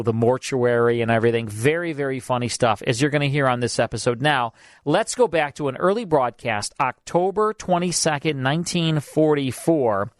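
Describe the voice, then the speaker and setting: A man's voice: medium pitch, no room sound, clean studio sound. A man hosting a radio show, chatting casually and rambling with lots of fillers as he introduces an old broadcast.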